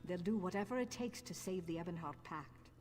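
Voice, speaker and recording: Woman as a low-voiced, knowing fantasy lore-keeper. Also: brisk, a little mellow, close to an everyday voice. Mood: storytelling. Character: wry, knowing female guild lore-keeper in fantasy game. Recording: game music underneath.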